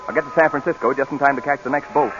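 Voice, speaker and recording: A man's voice: medium pitch, steady tone, very fast, natural voice. An actor playing an eager, ardent young American newspaperman in love, in 1940s radio drama style. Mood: eager, urgent. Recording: dull old radio recording, faint music.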